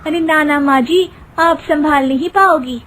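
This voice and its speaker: A woman's voice: high-pitched, a little slow, fairly bright tone, voice pushed up. A woman voicing an anxious, wry young daughter-in-law in a comic cartoon story, with a fretful, emphatic delivery. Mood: worried. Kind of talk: Hindi comic moral-story cartoon dub.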